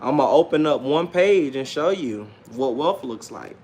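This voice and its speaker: A man's voice: medium pitch, expressive, measured pace, darkish tone. A man in his late thirties giving a casual, unscripted livestream monologue in a self-assured, slangy, repetitive way. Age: late 30s. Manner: self-assured, slangy, repeats himself, often says "man". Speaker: livestream host and self-published author.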